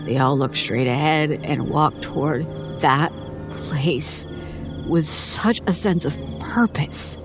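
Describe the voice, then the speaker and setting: An actress playing a shaken woman who haltingly recounts a traumatic childhood ordeal in an audio drama monologue. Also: sits low, over music. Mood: haunted, tense. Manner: halting, haunted storytelling. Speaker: interviewee.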